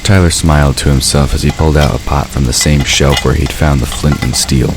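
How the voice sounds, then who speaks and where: A man's voice: rumbling low, steady tone, fairly quick. A man narrating a scripted story as the narrator of an audio drama. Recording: clear background ambient noise. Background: ambient sound effects.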